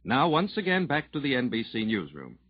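A man's voice: mid-range voice, wildly swooping pitch, a little fast, husky, dry recording. An American man working as a network announcer, reading a scripted announcement in 1940s radio style. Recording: muffled 1940s radio broadcast.

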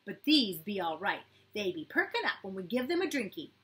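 A woman reading an audiobook, voicing an excitable small boy who talks in broken, gleeful grammar. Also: mid-range voice, brisk.

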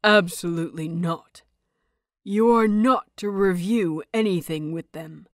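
A woman's voice: lowish voice, measured pace, no room sound, close to an everyday voice. Strict older schoolmaster played by a female narrator, emphatic and disapproving, clipped orders, storybook dialogue, dry recording. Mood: stern, scolding. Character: stern, disapproving older male teacher. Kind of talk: acted audiobook dialogue.

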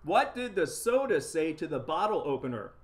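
A middle-aged man with a slightly high voice co-hosts a relaxed live stream. He delivers groan-worthy jokes and reads quiz questions aloud, picked up by a webcam in a small indoor room. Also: moderate pace. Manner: corny joke delivery, reads trivia aloud. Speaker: co-host. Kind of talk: casual live-stream chat. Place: small shop room with framed wall display, indoors.

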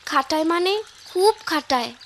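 A woman voicing a kind, eager young village girl in a cartoon, earnest and expressive, with a rural Bangladeshi accent. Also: very high voice, measured pace, heavy background noise, exaggerated voice. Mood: earnest, worried. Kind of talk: cartoon voice acting.

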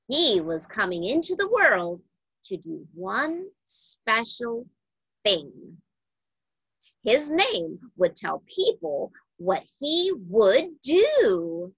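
A woman reading a children's Bible story aloud, with deliberate, emphatic phrasing for young listeners. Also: on the high side, huge pitch swings, a bit bright, husky, dry recording, muffled recording.

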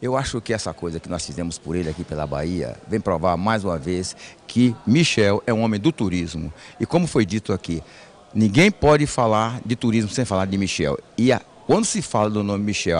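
A man's voice: on the low side, animated, fairly quick. An older man giving a spoken tribute in a TV interview, indoors into a handheld microphone. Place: indoor hall. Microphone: handheld reporter microphone.

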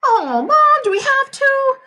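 A woman's voice: fairly high pitch, slow, sharp tone. A woman voicing an exasperated, whiny fourth-grade boy in a children's storybook. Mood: whining protest.

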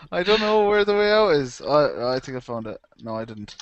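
A man's voice: fairly high pitch, big pitch swings, moderate pace, highs cut off. A young man chatting casually while playing a game with a friend, laughing often and reacting with surprise. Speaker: gamer co-host. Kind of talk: casual let's-play chat.

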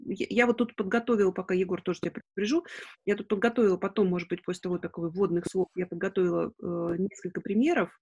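A woman co-hosting a webinar over a video call, giving an informal, halting introduction. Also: mid-range voice, fairly even intonation, a little mellow, slightly rough, dry recording. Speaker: webinar co-host.

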